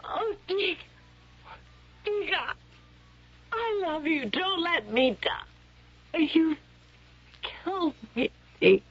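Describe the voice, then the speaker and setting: A woman acting in a radio drama as a tender, desperate wife who pleads haltingly. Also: extremely high pitch, expressive, exaggerated voice. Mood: dying, anguished. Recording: muffled old radio drama broadcast.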